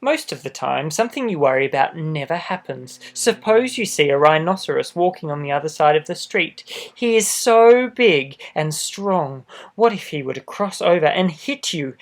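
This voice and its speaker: A man reading a children's storybook aloud expressively, adding gentle asides for young listeners. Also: fairly high pitch, lively intonation, moderate pace, few pauses. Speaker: storybook reader. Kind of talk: children's picture book read-aloud.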